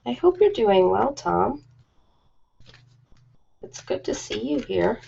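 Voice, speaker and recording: A woman's voice: fairly high pitch, sing-song, slow, gravelly, dark tone, slight background noise. A woman chatting casually and informally on a live stream, ad-libbing in a rambling, self-deprecating way. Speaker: live-stream host of ASMR and ritual videos. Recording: slightly dull lo-fi live-stream audio.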